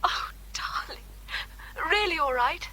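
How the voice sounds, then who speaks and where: Female as a tender, anxious sweetheart on the telephone; high-pitched, sharp-toned radio drama voice. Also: expressive, slow pace, a little hiss or hum, full character voice, poor audio. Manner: tender, worried. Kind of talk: British radio drama acting.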